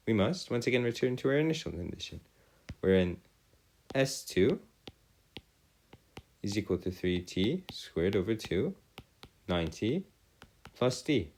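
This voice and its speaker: A man's voice: fairly low pitch, sing-song, unhurried, long pauses, darkish tone, slight background noise, poor audio. A man teaching a maths lesson as a tutor, in formal, wordy phrasing, often correcting himself as he works aloud. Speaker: math tutor. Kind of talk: video lesson working through calculus problems aloud.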